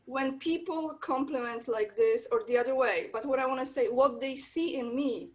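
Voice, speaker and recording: A woman's voice: high-pitched, moderate pace, darkish tone. A woman from Poland with a Polish accent, a guest in a casual interview, telling her stories in an eager, rambling way.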